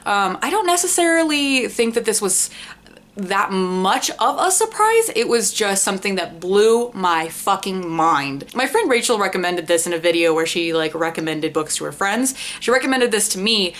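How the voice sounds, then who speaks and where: A woman's voice: medium pitch, a bit bright. A young adult woman chatting casually as a book vlogger, rambling and self-deprecating, with informal language and swearing. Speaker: book vlogger, channel host. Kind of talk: casual book review chat to camera.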